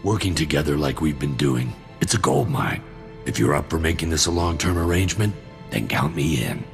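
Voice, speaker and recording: Very deep, gravelly, wry mercenary soldier. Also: male voice, expressive, brisk, soft background music, exaggerated voice. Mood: pleased, confiding. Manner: wry, sardonic, laid-back. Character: cynical, greedy mercenary soldier, alien arms dealer with dry humour. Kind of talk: video game cutscene dialogue.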